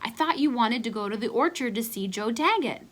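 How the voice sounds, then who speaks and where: A woman's voice: on the high side, lively intonation, fairly quick. A woman voicing a cocky, punchy schoolboy sidekick playing tough in a children's storybook. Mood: curious. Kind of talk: children's storybook read-aloud.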